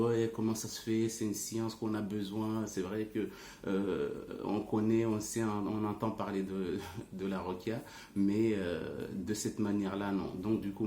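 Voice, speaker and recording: A man's voice: on the low side, warm tone. A man who is not a native French speaker explains things informally to online followers as a religious teacher, often searching for words. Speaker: religious teacher and ruqyah healer. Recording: roomy echo, faint background noise.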